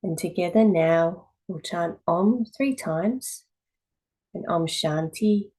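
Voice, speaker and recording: Low-pitched woman guiding a yoga class. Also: rough recording. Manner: calm, measured, with pauses between short phrases. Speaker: yoga teacher. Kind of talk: guided meditation instruction.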